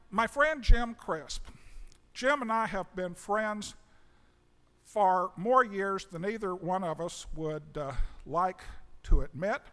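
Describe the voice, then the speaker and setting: A man moderating a conference panel from a podium in a museum auditorium. He speaks in a joking, halting way with many uhs. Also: sits high, fairly bright tone, clear, resonant tone. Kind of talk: folksy conference introduction. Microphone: podium microphone. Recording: long hall reverb.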